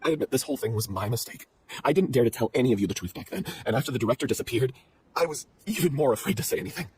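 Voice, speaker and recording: An actor playing a guilt-ridden, cowardly stage performer, earnest and hesitant, in a dramatic video game. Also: male voice, medium pitch, lively intonation, very fast, a little mellow, slight background noise, lightly acted. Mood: confessing. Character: guilt-ridden, cowardly male theatre troupe member.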